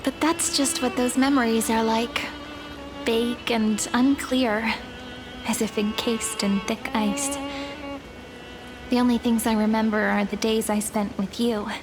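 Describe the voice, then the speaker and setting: A woman playing a sweet, playful young companion in a video game, with a gentle, musing, teasing manner. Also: fairly high pitch, steady tone, measured pace, music in the background, lightly acted, rough recording. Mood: wistful. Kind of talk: scripted game dialogue, anime-style.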